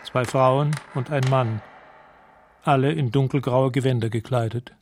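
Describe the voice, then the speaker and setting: A man narrating a radio drama in a measured, detached, matter-of-fact way, as if describing scenes. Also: medium pitch, steady tone, slight background noise, natural voice. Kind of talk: scripted present-tense radio drama narration.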